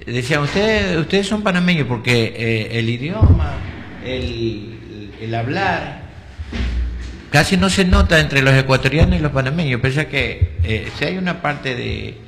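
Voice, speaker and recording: A man's voice: mid-range voice, long reverb tail. An Ecuadorian man chatting as host on a local radio programme, from a ringing room with faint noise. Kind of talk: casual chat.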